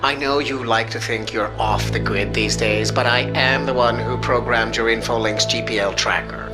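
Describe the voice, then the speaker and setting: An actor playing a snarky, self-important American tech expert in a video game, with a precise, sardonic delivery. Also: male voice, mid-range voice, steady tone, normal speed, natural voice. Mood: needling, smug. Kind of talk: video game cutscene acting. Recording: loud music behind. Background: music.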